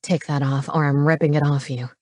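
Woman voicing a gruff, low, domineering male wolf shifter. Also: flat delivery, brisk, warm tone, dry recording, clean studio sound. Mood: commanding. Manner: growling, commanding, crude. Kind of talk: paranormal romance audiobook character.

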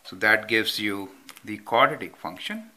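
A man's voice: lowish voice, lively intonation, a little slow, bright, forceful tone. A man explaining a math problem step by step as he writes it out, often checking in with "right?". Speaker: math teacher. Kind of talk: whiteboard math tutorial. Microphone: off-camera voice over handwritten notes.

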